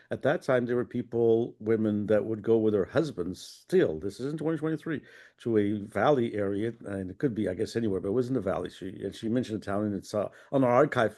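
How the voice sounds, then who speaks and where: A man moderates a panel in a low, mellow voice. His manner is jokey and rambling as he poses questions to the guests. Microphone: video call.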